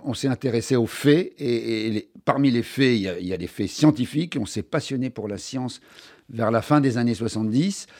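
An older man, a journalist and author, telling his story in an interview with lively emphasis and frequent restarts. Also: mid-range voice. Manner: animated storyteller, many restarts, stressed key words. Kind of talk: conversational interview.

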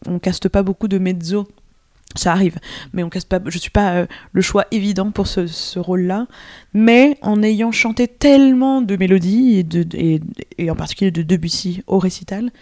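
A woman's voice: mid-range voice, darkish tone, dry recording, studio quality. A young French woman, a mezzo-soprano opera singer, answering interview questions thoughtfully with frequent hesitations. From France. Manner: thoughtful, many hesitations and restarts. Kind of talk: radio interview.

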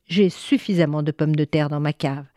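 A woman's voice: deep voice, normal speed, mellow, dry recording, clean studio sound, natural voice. A woman storyteller voicing a protective, stubborn peasant mother, evasive and firm in manner. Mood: stern, demanding.

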